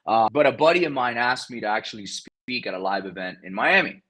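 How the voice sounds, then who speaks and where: A man whose first language is Spanish talks as a podcast guest with lively energy. His voice is bright and forceful with a husky edge. He often repeats words and restarts sentences on the fly. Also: medium pitch, brisk. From South Florida. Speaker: guest, real estate investor. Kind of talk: casual interview talk.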